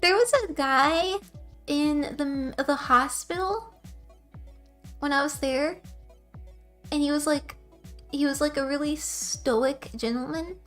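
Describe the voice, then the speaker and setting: A young woman streamer with a soft, quiet voice, chatting casually with her viewers in a rambling, giggly way. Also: high-pitched, slow pace, long pauses, soft background music.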